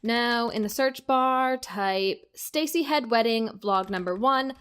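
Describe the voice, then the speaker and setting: A woman's voice: a little high, takes it slowly, a bit bright, clean tone. An actor playing a defensive married woman in an audio drama, matter-of-fact and snippy. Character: brisk, defensive married woman with dry comebacks. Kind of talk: acted audio drama dialogue.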